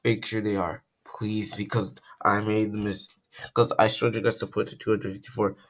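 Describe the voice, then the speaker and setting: A young man, croaky from just waking up, talks through a casual coding tutorial at his computer, thinking aloud and correcting himself as he goes. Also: on the low side, little pitch movement, moderate pace, fairly bright tone.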